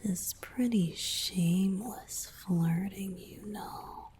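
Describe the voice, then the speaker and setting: A woman voicing a playful, romantic young merman boyfriend in a breathy, teasing ASMR whisper with soft hums. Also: mid-range voice, slow pace, close to an everyday voice. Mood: teasing, bashful.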